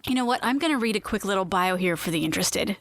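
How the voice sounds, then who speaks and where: A woman's voice: mid-range voice, animated, fast, background noise. A woman hosting a fiction podcast, chatting playfully and reading a short bio in a gushing, theatrical way. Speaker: podcast host.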